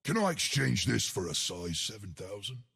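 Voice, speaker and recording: An actor playing a giant, cheerful living stone colossus in a video game, good-natured and dim, with a gravelly, husky, booming voice and a hearty, emphatic delivery. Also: male voice, sing-song, moderate pace, a bit bright, full character voice. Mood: puzzled, musing. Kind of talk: video game character voice lines.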